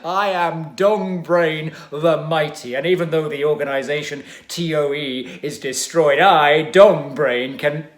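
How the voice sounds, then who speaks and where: A man voicing a big, angry villain who boasts slowly and gloats loudly, in an echoey room. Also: lightly acted. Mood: boastful. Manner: booming, slow, boastful.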